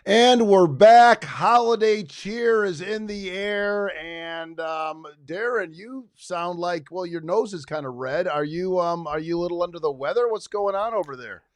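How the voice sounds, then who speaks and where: A man's voice: sits high, measured pace, hardly any pauses, dry recording, studio quality. A middle-aged man co-hosting a podcast, chatting casually and rambling with many restarts. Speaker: podcast co-host. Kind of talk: casual chat. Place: his home.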